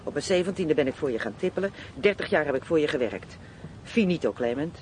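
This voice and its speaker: A man's voice: fairly high pitch, expressive, fast, close to an everyday voice. A man acting a brash, streetwise Dutch criminal underling in a radio play, blunt, coarse and slangy. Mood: bitter, defiant. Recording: faint noise, radio play recording.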